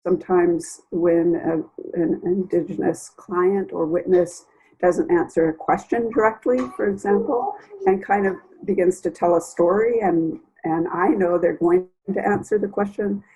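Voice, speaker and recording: Husky woman, lowish voice. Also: steady tone, mellow, low-quality recording. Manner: measured, searching pauses. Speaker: lawyer. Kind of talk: reflective interview.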